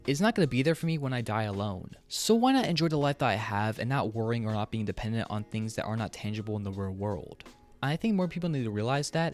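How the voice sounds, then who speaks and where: A man delivers an earnest, frank commentary with lively, varied intonation and a medium-pitched voice. He talks conversationally, swears casually, and sounds like a video essay host. Music plays softly behind him. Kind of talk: opinionated commentary, scripted and conversational.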